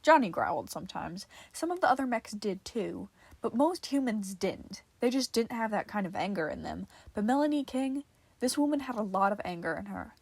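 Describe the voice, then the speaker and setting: A woman's voice: medium pitch, expressive, normal speed, creaky, slightly rough, dry recording. A woman reading fiction aloud as a podfic narrator, in a dramatic storytelling style.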